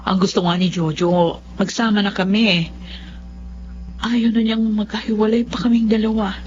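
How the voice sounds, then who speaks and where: A woman's voice: mid-range voice, level tone, normal speed, a little mellow, natural voice. A woman playing a stubborn middle-aged Filipina mother in love, in a radio drama. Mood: plaintive, lovestruck. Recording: muffled, noisy radio drama with hum.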